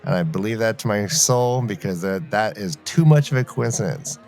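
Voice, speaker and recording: A man's voice: fairly low pitch, expressive, moderate pace, vocal fry, darkish tone, soft background music. Playfully argumentative man co-hosting a podcast. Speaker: podcast co-host. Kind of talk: animated fan debate, casual chat.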